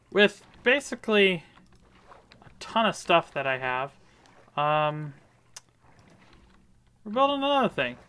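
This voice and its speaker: A man's voice: on the high side, sharp tone, clear, resonant tone. A man giving casual, rambling commentary over his gameplay, with lots of fillers. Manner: rambling, many fillers, upbeat. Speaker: gaming video creator.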